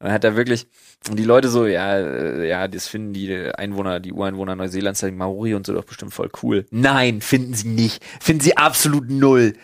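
A man's voice: on the low side, brisk, no echo. Slangy man, close dry recording. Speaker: podcast host. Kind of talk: casual, slangy banter with friends.

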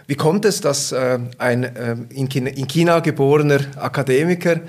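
A man hosts a finance podcast in a mid-pitched voice. He speaks formally and politely, with occasional fillers as he sets up his questions. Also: normal speed. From Switzerland. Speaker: podcast host, bank investment officer. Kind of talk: formal interview hosting.

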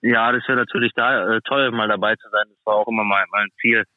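A man's voice: lowish voice, steady tone, fast talker, dry recording, phone-quality sound. A man being interviewed casually as an athlete guest, speaking in a hesitant, plain-spoken way. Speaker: guest, professional ice hockey player.

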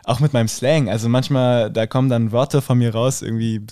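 A man's voice: medium pitch, brisk, clean tone, no echo. Laid-back young Hessian man, dry sound. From Rüsselsheim, Germany. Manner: relaxed, laughs often, many fillers. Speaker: guest, acting student. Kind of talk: casual interview chat.

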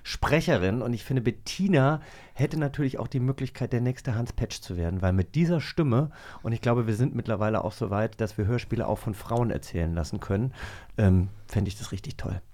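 A man's voice: mid-range voice, brisk, dark tone. Man co-hosting a casual podcast, chatty. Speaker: podcast co-host. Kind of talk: casual chat.

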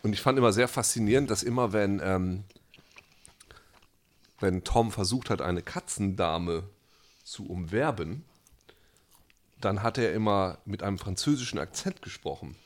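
Rambling, lower-pitched podcast guy. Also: lively intonation, a little slow, pauses often. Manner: rambling, many fillers and restarts, laughs easily. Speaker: podcast co-host. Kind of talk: casual chat.

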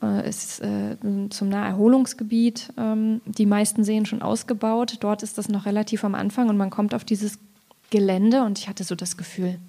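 A woman's voice: medium pitch, fairly even intonation, moderate pace, creaky, dark tone. A woman speaking as a poet in an informal literary conversation, thinking aloud in a reflective way. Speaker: poet in discussion.

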